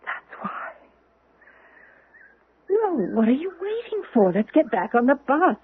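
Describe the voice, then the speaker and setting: A woman's voice: sits high, sing-song, takes it slowly, heavily acted. An actress in a 1970s radio drama playing a dazed, dreamy woman relieved of guilt, earnest and entranced. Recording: muffled old radio broadcast recording.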